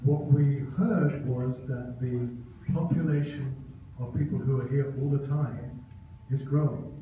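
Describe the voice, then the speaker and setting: A man's voice: mid-range voice, steady tone, measured pace, slight background noise. A middle-aged man who designed the plan, earnestly presenting it at a town hall. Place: large meeting room, indoors. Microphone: handheld mic. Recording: dull echoey hall, taped from far off.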